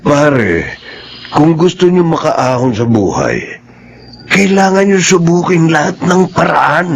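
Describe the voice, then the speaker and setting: An actor playing a reassuring friend in a radio drama, with a husky voice and a drawling manner. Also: male voice, on the high side, lively intonation, slow, heavily acted. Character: friendly buddy Dagul, easygoing advisor.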